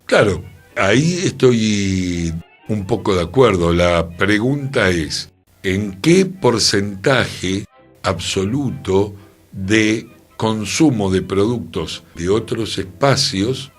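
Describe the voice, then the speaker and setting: A man with a Buenos Aires accent talking reflectively on a radio culture show, deliberate and opinionated. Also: on the low side, expressive, soft background music. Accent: Buenos Aires Argentine.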